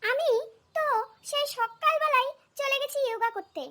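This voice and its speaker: A woman's voice: very high voice, moderate pace, pushed and bright. A woman voicing an indignant, self-pitying young daughter-in-law in a cartoon comedy, with a defensive, huffy manner. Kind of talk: cartoon family comedy dialogue.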